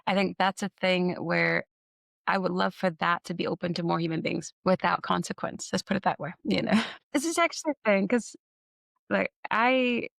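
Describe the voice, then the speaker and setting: An interview host speaking in a thoughtful, musing way, with casual fillers and a relaxed, conversational delivery. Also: mid-range voice, normal speed, vocal fry, no echo, clean studio sound. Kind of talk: reflective conversation.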